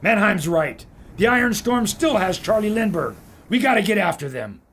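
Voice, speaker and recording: A man playing a brash, excitable filmmaker adventurer with a showman's bluster. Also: sits high, moderate pace, heavily acted. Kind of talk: radio play acting.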